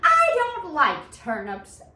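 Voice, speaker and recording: Plucky little pig, voiced by a woman: tiny, squeaky, extremely high, quick, polite but defiant, echoey room. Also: wildly swooping pitch, pushed and bright, distant mic, full character voice. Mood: polite, firm. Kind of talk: dramatic children's storybook read-aloud.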